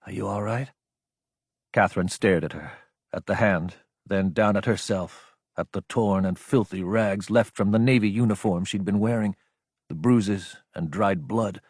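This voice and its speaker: A man's voice: low-pitched, darkish tone, husky, no echo, studio quality. A man reading action fiction as a dramatic, scripted audiobook narration.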